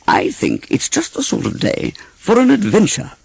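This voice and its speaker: A man voicing an amiable, simple-hearted toy bear in a storybook, mild, musing and unhurried. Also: sits high, huge pitch swings, gravelly, breathy edge, no echo, voice pushed up, exaggerated voice. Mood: carefree.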